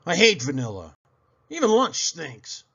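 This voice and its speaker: A man's voice: fairly high pitch, huge pitch swings, unhurried, vocal fry, fairly bright tone, husky, dull audio, voice pushed up, exaggerated voice. A man voicing an indignant first-grade girl in a storybook, complaining with whiny, exaggerated emphasis. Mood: disgusted.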